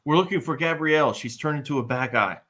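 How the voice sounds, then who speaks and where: A man's voice: fairly high pitch, quick pace, a bit bright. A man playing a bumbling, boastful, eager sidekick with blustering false bravado at a live fantasy table read. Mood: excited, alarmed.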